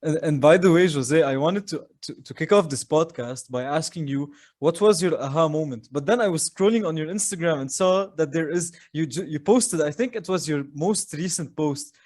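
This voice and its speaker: A man's voice: fairly high pitch, moderate pace, few pauses, a little mellow. A man hosting a podcast, talking in a casual way with fillers and restarts. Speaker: podcast host.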